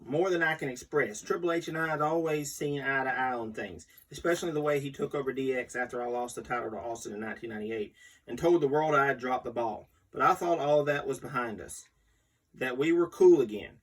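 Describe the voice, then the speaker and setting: Guy reading an article out loud, kinda raspy, mic is a bit far away. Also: medium pitch, a little fast. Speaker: host reading aloud. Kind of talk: scripted magazine read-aloud. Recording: distant microphone.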